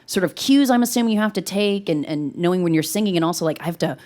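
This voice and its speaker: Woman, low voice, interviewer, upbeat, rambling questions. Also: fast talker, a little mellow.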